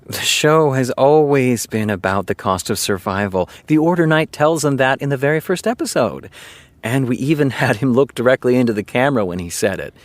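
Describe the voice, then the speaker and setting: An actor playing a smug, self-assured TV showrunner in an audio drama, speaking in a pompous, deliberate, lecturing way. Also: male voice, medium pitch, lively intonation, dry recording, natural voice. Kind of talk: naturalistic audio drama acting.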